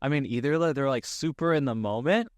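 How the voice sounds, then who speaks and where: A man's voice: mid-range voice, a little fast, dry recording, studio quality. A man hosting a casual conversation, talking in meandering, thoughtful phrases. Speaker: podcast host. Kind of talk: casual interview chat.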